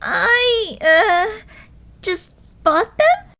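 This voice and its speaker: A woman voicing a petulant, scheming female sheep in an animated courtroom story, indignant and easily flustered. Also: extremely high pitch, very slow, full character voice. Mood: panicked. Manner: indignant, flustered when challenged. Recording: music behind the voice.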